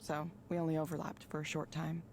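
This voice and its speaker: An actress playing a reserved, modest former engineer in a video game, speaking in an understated, guarded way. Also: female voice, low voice, completely flat delivery, quick pace, a little mellow, a little hiss or hum.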